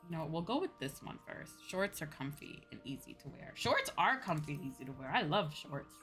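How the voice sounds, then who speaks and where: A woman's voice: low-pitched, very animated, a little fast, fairly bright tone. A woman streaming a game, chatting informally and thinking aloud as she plays, often dropping in "you know" and "like". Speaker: streamer playing an online game. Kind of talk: casual livestream chat, thinking aloud while playing. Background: music.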